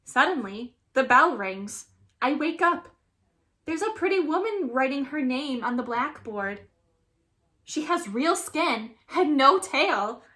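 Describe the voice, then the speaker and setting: A woman reading a children's story aloud as a teacher would, with expressive, dramatic narration. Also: sits high, takes it slowly.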